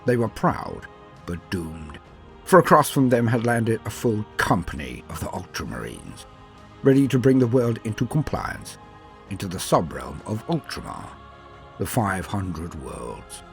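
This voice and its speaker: A man narrating scripted stories in a dramatic, solemn and measured way, with emphatic swells and falling sentence endings. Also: on the low side, sing-song, creaky. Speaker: narrator and host of a fan fiction lore channel. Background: music.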